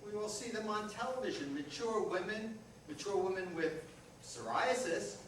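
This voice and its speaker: A man's voice: high-pitched, animated, normal speed. A man playing a blunt, teasing companion in a staged reading of a comic play, with a matter-of-fact, needling delivery. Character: blunt, teasing male companion giving advice. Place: live theater reading in an echoey room. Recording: echoey hall, distant, loud noise.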